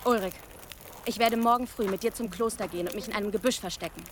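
A woman's voice: mid-range voice, fast, a little hiss or hum, natural voice. An actress playing a fierce, defiant young village woman archer in a German radio drama, resolute and challenging. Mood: defiant, bitter.

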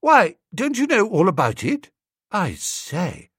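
Man playing a bumbling, sheepish young English lord, very animated. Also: high-pitched, normal speed, husky, no room sound, studio quality, heavily acted. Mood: mildly dismayed. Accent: upper-class English. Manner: apologetic, chatty, bumbling. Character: mild, sheepish young English lord, amiable silly student.

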